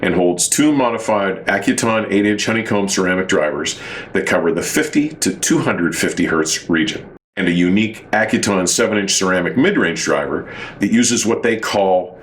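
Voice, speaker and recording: A man hosting an audio review, speaking to camera in formal, wordy, measured phrasing as if from prepared notes. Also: low-pitched, lively intonation, a little hiss or hum. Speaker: audio reviewer and show host. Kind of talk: semi-scripted product review monologue to camera.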